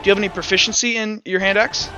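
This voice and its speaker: A man acting as game master, narrating and explaining rules in a halting, self-correcting way. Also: sits high, steady tone, over music, rough recording. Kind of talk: improvised tabletop game narration and rules talk.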